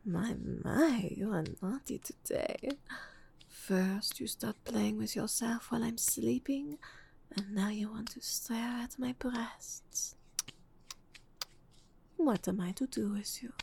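A woman whispering a teasing, breathy roleplay, slipping in French endearments and soft laughs. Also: on the high side, slow pace. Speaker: audio roleplay performer.

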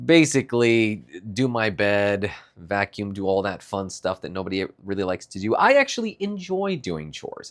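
Young guy kinda stuffy, rambly morning check-in, lowish voice that bounces around a lot. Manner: chatty, rambling, laughs often. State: stuffy nose from allergies. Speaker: streamer. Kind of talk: casual morning check-in vlog.

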